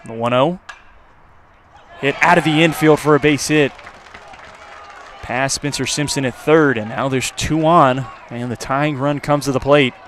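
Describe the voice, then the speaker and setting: A man giving live play-by-play baseball commentary for radio, his voice lifting on the action and settling between pitches. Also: a little slow, long pauses, a bit bright, some chatter behind. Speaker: play-by-play announcer. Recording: clear outdoor ambient noise. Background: outdoor ballpark ambience.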